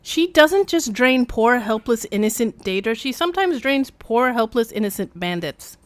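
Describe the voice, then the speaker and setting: A woman's voice: a little high, sing-song, normal speed, dry recording. A woman giving casual, playful live commentary as a game streamer, with wry asides.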